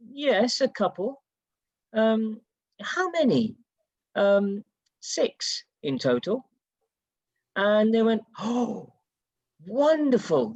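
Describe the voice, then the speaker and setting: A British-born panelist telling a personal story in a panel discussion and acting out the quoted exchanges. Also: male voice, high-pitched, dry recording. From United Kingdom. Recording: dull, dry sound.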